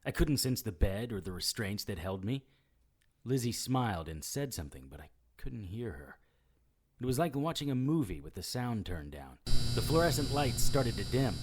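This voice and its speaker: A wry immortal detective narrating his own supernatural audio drama. His voice sits low and swings expressively, recorded close and dry, with a dramatic, self-mocking edge. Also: sing-song, normal speed, a little mellow, no room sound. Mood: hushed, tense. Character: wry immortal male occult investigator, noir narrator. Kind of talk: scripted first-person audio drama narration.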